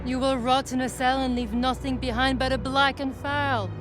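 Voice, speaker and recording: A woman acting taunting game villainess, high voice, cool, mocking, self-assured, loud music behind. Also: flat delivery, normal speed, natural voice. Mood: taunting, cold. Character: cool, taunting female double-crossing villain. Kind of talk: cinematic video game acting.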